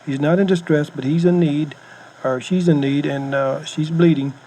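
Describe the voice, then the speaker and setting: A slightly noisy cassette recording carries an American man with a somewhat high-set voice. He speaks as a hunting instructor, explaining things informally in plain, folksy phrasing. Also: steady tone, moderate pace, dark tone. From United States. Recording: noisy home-style cassette tape recording.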